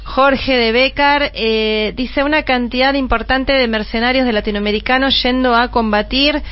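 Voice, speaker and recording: A woman radio host with an Argentine accent who chats and reads out listener messages. Her voice is somewhat high. The sound is a little dull and lo-fi. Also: fairly even intonation, moderate pace. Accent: Argentine Spanish. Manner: warm conversational, reading listener messages. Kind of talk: radio talk show.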